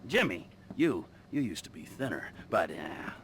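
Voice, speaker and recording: An actor playing an unhinged, awkwardly menacing man in a video game, halting and insinuating as he talks. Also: lowish voice, big pitch swings, creaky, a little hiss or hum. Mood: menacing, mock-friendly. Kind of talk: video game cutscene acting.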